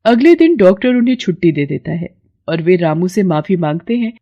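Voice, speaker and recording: A woman's voice: lowish voice, animated, a little fast, mellow. A woman narrating a scripted moral tale as storyteller.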